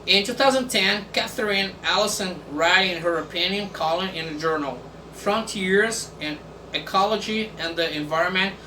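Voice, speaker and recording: Halting Portuguese-speaking man reading aloud. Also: high-pitched, pushed and bright. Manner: halting, many fillers and restarts. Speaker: video host reading aloud. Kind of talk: casual reading from the internet. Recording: echoey room from far off, faint background noise.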